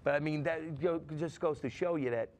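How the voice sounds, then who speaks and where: A man's voice: on the high side, normal speed, darkish tone. A middle-aged man, a guest musician rambling through stories in casual chat with many restarts.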